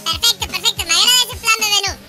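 Piercingly high little monster boy. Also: fast talker, over music. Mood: agreeable. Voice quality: squeaky, childlike. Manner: frantic, repeats phrases. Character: small, panicky, friendly good sea-monster boy. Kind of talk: Minecraft roleplay for children.

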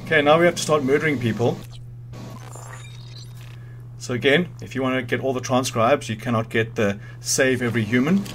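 A man's voice: mid-range voice, fairly quick, long pauses, a little hiss or hum. A man giving casual, informal walkthrough commentary on a video game, thinking aloud and correcting himself as he goes. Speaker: gamer hosting a video game guide. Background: video game music and sounds.

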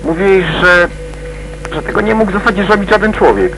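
A man's voice: sits high, animated, a bit bright, poor audio. Man playing urgent policeman, music behind. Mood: excited, urgent. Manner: halting, colloquial, urgent. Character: excited male policeman phoning a colleague. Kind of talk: crime radio play acting.

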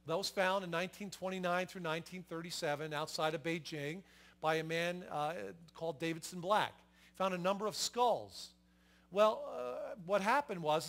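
A man's voice: high voice, fairly bright tone. A middle-aged man, a pastor, giving an explanatory, emphatic sermon from a church stage on a lapel mic, with fillers and restarts. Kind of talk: sermon with slides.

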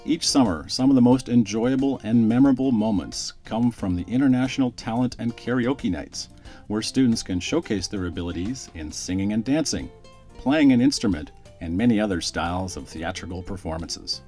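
Low-voiced male promo narrator. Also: expressive, normal speed, mellow, faint music behind. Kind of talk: scripted voice-over narration.

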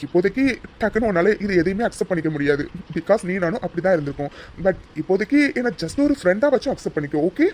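A man playing a gentle, protective young lover. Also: sits high, animated, fast, a little hiss or hum. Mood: soothing. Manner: soft, soothing, reassuring. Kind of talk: romantic drama acting.

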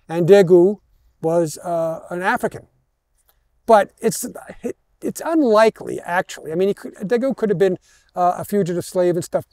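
Older male tour guide, American. Higher-pitched, relaxed tone, halting storyteller, headset mic outdoors with no echo. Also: clean studio sound. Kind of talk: informal guided history talk. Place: outdoors in an open parking lot.

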